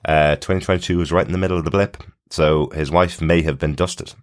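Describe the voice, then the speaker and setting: A man's voice: sits low, fairly even intonation, fairly quick. A man co-hosting a podcast, casually recapping and commenting on television in descriptive, flowing explanations. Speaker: podcast co-host.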